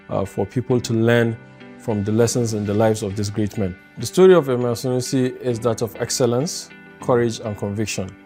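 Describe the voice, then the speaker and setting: A Nigerian man giving a prepared testimonial in a measured, formal, earnest way. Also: mid-range voice, a little mellow.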